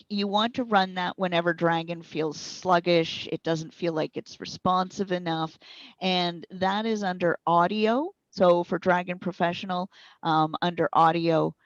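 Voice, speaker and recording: Low-voiced woman explaining, roomy sound. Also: steady tone, measured pace. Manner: conversational, instructive. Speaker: speech recognition trainer being interviewed. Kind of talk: explanatory interview talk. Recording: boxy roomy echo, slightly dull sound.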